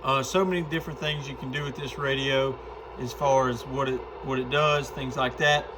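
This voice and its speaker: A man's voice: medium pitch, steady tone, normal speed, background noise. A man chatting in an informal, folksy way as he gives a casual product demonstration like a shop presenter.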